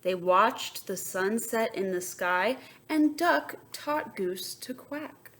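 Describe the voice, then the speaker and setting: A woman reading a children's story aloud in an expressive way, pausing with questions and asides for young listeners. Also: mid-range voice. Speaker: picture book reader. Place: indoors, book held up to camera.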